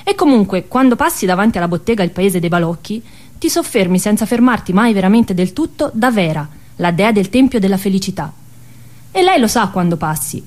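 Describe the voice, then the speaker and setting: A woman's voice: mid-range voice, animated, fairly quick, darkish tone. A woman with a Tuscan accent tells a first-person story as an actress in a stage monologue.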